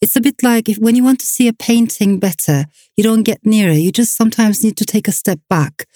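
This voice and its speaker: A woman whose first language is Turkish, a novelist answering interview questions in a thoughtful, measured way with soft fillers. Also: medium pitch, dry recording, clean studio sound. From Turkey. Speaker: novelist guest.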